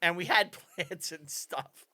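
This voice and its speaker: A man co-hosting a casual podcast, excitable and laughing through his own ideas. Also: high-pitched, takes it slowly. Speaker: podcast co-host.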